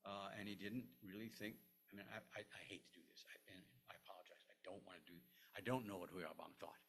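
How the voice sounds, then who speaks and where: An older man, a historian, answering audience questions at a lecture, halting with many restarts and fillers. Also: low voice, steady tone, vocal fry, a bit bright. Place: lecture room.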